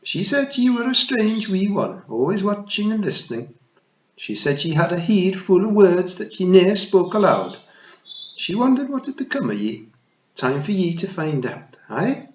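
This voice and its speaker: A man voicing a tiny, shrewd old female pixie chieftain with a broad Scottish burr, warm, teasing and commanding. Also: high voice, big pitch swings, moderate pace, pitched above the performer's own voice. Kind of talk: storybook reading. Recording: roomy, slightly dull recording.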